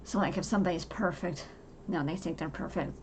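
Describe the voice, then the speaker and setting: Woman in her forties, soft low gravelly voice, halting personal chat, faint noise behind. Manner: halting, many fillers and restarts. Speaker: podcast host. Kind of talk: casual personal talk to camera.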